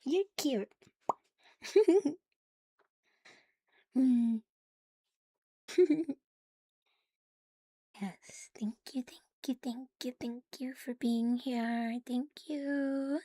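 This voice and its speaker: A woman livestreaming ASMR in a playful, cutesy catgirl manner, soft and breathy, often whispering, close to the microphone. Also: sits high, drawn-out words, long pauses, dry recording. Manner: playful, cutesy catgirl persona, with giggles and purring. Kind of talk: ASMR, intimate chat to live listeners.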